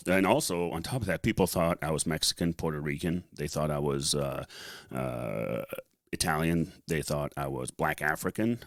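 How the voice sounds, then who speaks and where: A man telling his personal story casually as a podcast co-host, halting often as he gathers his thoughts. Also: rumbling low, dry recording, studio quality. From born in Liberia, later raised in the US, of Lebanese family. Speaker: podcast co-host and article writer.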